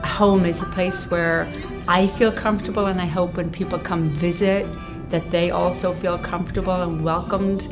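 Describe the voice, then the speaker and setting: A woman who leads a health center, speaking in a measured, earnest way about homelessness and health care. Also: fairly low pitch, steady tone. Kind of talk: earnest advocacy speech. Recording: music bed under voice.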